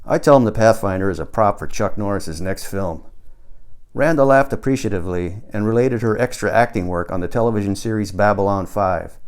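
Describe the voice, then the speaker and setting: A man reading his own book as scripted audiobook narration, with a literary, wry delivery. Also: lowish voice.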